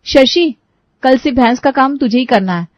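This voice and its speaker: A woman's voice: high-pitched, lively intonation, moderate pace, dry recording. A woman voicing a practical, firm young daughter-in-law in a cartoon story, slightly lo-fi.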